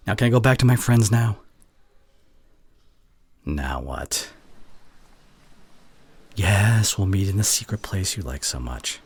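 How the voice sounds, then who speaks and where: Male actor as exasperated college student, low-pitched with lively swings, halting and teasing, ASMR roleplay, some background noise. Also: exaggerated voice. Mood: exasperated, fondly teasing. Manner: halting, sighing, sarcastic. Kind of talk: ASMR roleplay acting, one side of a conversation. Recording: clear background ambience noise.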